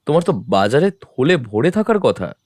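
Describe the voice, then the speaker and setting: A man playing a composed, observant detective in an audio drama, talking in a measured, thoughtful, familiar way. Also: mid-range voice, very animated, darkish tone, no echo. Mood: curious.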